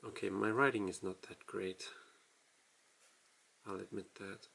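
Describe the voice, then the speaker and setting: A man casually walking through a writing tutorial, explaining in a halting, self-deprecating way as he writes. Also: fairly low pitch, fairly even intonation, vocal fry, sharp tone, breathy edge, poor audio. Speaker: language tutorial host.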